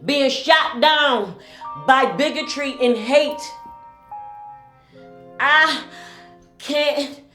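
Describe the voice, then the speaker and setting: A woman performing spoken word poetry with a slow, pleading, mournful delivery. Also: high voice, pushed and bright, reverberant, soft background music.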